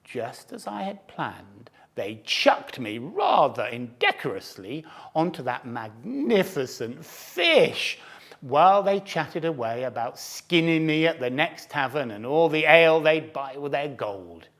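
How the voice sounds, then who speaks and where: A man's voice: fairly high pitch, sing-song, unhurried, fairly bright tone, heavily acted. A man voicing a sly, boastful male fox who tells his cubs storybook tales in a theatrical, relishing way. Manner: relishing, theatrical, savouring food words. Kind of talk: storybook narration.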